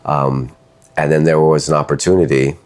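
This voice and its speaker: A man's voice: very deep voice, level tone, normal speed, a little mellow. A man interviewing a guest, recapping events hesitantly with "um" fillers. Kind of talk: conversational interview questions.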